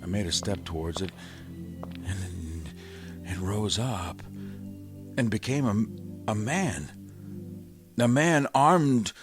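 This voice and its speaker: A haunted survivor, a learned professor worn down by disaster. His very low voice is grave and brooding, halting as he narrates in theatrical 1930s radio style. Music plays clearly behind him. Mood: wary, haunted. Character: exhausted, haunted male astronomy professor surviving an alien invasion.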